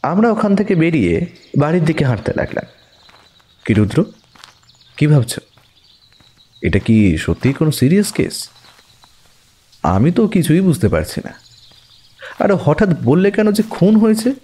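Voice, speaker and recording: A man's voice: fairly high pitch, sing-song, normal speed, long pauses, dark tone. A man playing the detective's companion, narrating a mystery story expressively in an audio drama. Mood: puzzled, alarmed. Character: detective's male companion, first-person narrator, puzzled.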